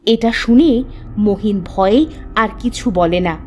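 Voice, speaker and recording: Female narrator for cartoon folk tales, scripted storytelling at a slow pace, soft music behind. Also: mid-range voice.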